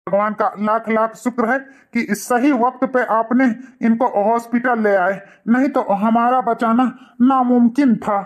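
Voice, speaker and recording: A man's voice: extremely high pitch, steady tone, a little slow, few pauses. Guy as serious cartoon hospital doctor, formal, kinda lecturing. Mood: grave, reassuring. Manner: formal, repetitive, cautioning. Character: male hospital doctor, grave, reassuring, lecturing. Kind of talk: cartoon dialogue.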